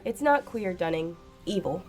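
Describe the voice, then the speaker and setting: A woman's voice: on the low side, lively intonation, normal speed, a little mellow, natural voice. A woman playing an anxious, irritable British science secretary in a radio drama, speaking emphatically. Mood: ominous warning. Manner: emphatic, old-fashioned British turns of phrase. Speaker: actress. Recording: faint music behind a student radio play.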